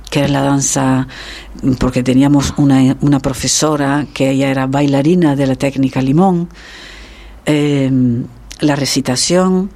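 A woman from the Canary Islands, a trained actress, telling her story in a relaxed interview with lively, reflective pacing and frequent restarts. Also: low-pitched. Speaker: guest, actress. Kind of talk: conversational interview.

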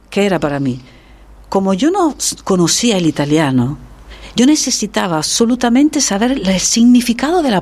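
A woman from the Canary Islands, a trained actress, telling her story in a relaxed interview with lively, reflective pacing and frequent restarts. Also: lowish voice. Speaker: guest, actress. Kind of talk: conversational interview.

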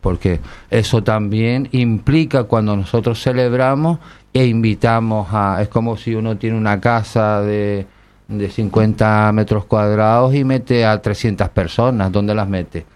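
A man with a fairly low, smooth and resonant voice hosts a relaxed panel conversation. He rambles along, pausing with plenty of fillers as he gathers his thoughts. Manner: rambling, hesitant with many fillers. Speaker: host. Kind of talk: casual panel chat.

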